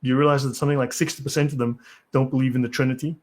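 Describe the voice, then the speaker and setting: A South African man hosts a live stream, reading from articles and answering chat. His voice sits at an ordinary middle pitch. He lectures bluntly and has little patience. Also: flat delivery, rapid-fire. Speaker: channel host.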